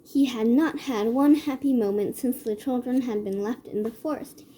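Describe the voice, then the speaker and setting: A woman reads a children's story aloud in a measured way. Her voice sits fairly high but has a dark, mellow tone. There is a faint noise behind her. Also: poor audio. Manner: measured read-aloud delivery. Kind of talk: scripted children's story narration.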